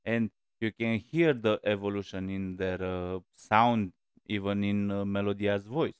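A man's voice: lowish voice, fairly even intonation, measured pace, smooth. A man in his forties hosting a music reaction video, talking casually and hesitantly with many fillers, from a small room on a headset mic.

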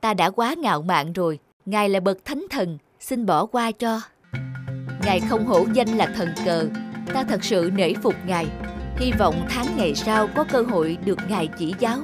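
A man's voice: high-pitched, expressive, normal speed. A middle-aged villager in a cartoon folk tale. He is humble and earnest, addressing others respectfully. Music runs behind his lines. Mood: humbled, reverent. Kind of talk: cartoon voice acting.

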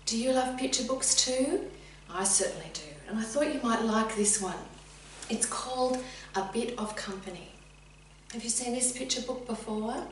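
Very echoey woman reading to children. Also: on the high side. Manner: clear, deliberate, addresses young listeners directly. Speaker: teacher reading a picture book. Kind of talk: expressive children's story reading. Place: indoors, sitting room with bookcase. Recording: very echoey room, distant mic, faint hum.

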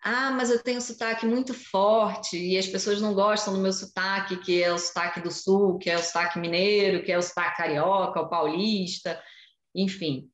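A woman in her forties, a journalist guest, explaining in a lively way during a video-call interview from home. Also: mid-range voice, normal speed. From Brazil. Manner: animated, explanatory, gestures while talking. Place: home room with shelves. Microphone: video call webcam. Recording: echoey room on video call.

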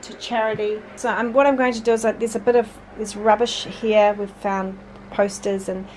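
A woman's voice: medium pitch, flat delivery, normal speed, a little mellow, slight background noise, low-quality recording. A woman in her forties chatting as she sorts a bedroom, talking to her sons between remarks, with lots of fillers. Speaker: vlogger, mother. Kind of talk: casual decluttering narration. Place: bedroom, indoors.

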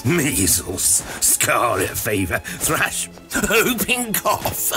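An actor playing a morose, malicious gravedigger in an audio drama, muttering with grim, gloating relish. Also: male voice, mid-range voice, wildly swooping pitch, extremely slow, over music, heavily acted. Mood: spiteful relish. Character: morose, malicious, drunken old gravedigger who hates merriment.

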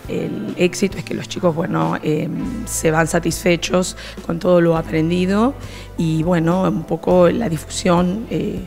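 A middle-aged woman with an Argentine accent, a program coordinator explaining the course in an interview, measured and pausing on "eh". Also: lowish voice, steady tone, creaky, a little mellow, soft background music. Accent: Argentine Spanish. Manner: measured, with frequent "eh" fillers. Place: classroom with students behind. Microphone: handheld reporter mic.

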